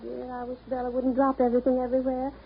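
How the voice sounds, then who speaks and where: A woman's voice: high-pitched, completely flat delivery, fast, creaky, slight background noise. An actress playing a vague, genteel middle-aged mother who rambles airily, in 1930s radio drama style. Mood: blithely vague. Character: scatterbrained, genteel mother, blithely fretting and sponging. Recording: muffled, tinny 1930s radio recording.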